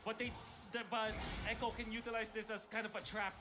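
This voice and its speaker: A man's voice: high-pitched, little pitch movement, fairly quick. A man co-commentating an esports match casually, thinking aloud and correcting himself. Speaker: esports caster. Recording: broadcast audio with background noise.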